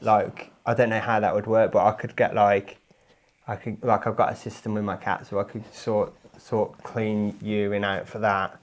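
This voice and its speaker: A man making a prank call, speaking in a deadpan, rambling and hesitant mock-earnest way. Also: fairly low pitch. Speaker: prank caller. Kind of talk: mock-earnest phone conversation.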